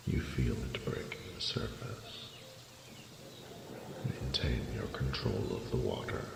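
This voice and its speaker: A man voicing an ancient, proud but tender dragon who mentors his beloved in slow, formal, commanding speech in a fantasy audio drama. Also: full character voice. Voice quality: deep gravelly, often breathy. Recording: echoey cavernous reverb with ambient noise.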